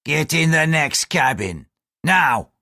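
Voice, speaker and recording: A man's voice: a little high, sing-song, slow pace, sharp tone, no room sound, studio quality, exaggerated voice. A man voicing a crude, lecherous male ship's bosun who leers and threatens. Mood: threatening. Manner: coarse, leering, threatening.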